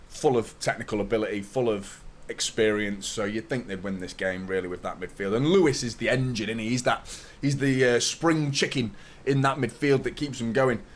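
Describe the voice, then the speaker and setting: A man in his thirties with a slightly hoarse voice, hosting a live football fan stream, chatting to viewers and ranting with strong opinions. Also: mid-range voice. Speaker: host of a football fan watchalong. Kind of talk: live stream chat and commentary.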